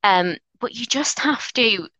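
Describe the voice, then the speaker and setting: A woman's voice: fairly high pitch, animated, a little slow, bright, forceful tone, no room sound, dull audio. A woman with a strong Mancunian accent, answering interview questions in an earnest, encouraging way with frequent restarts. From North Manchester, England. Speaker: lawyer, interview guest.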